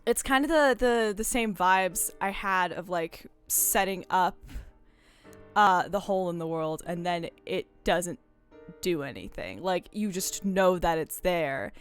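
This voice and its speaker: Woman, smooth clear voice, casual game chat, rambling, self-interrupting, soft music behind. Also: on the low side, a little slow. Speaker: host of a game discussion stream. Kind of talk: casual chat about video games.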